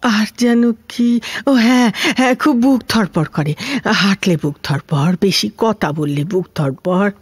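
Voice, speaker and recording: A woman's voice: mid-range voice, unhurried, husky, no echo, studio quality. An older woman playing a frail, lonely former housemaid in a Bengali audio drama, rambling in homely Kolkata Bengali with a plaintive manner. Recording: music behind the voice.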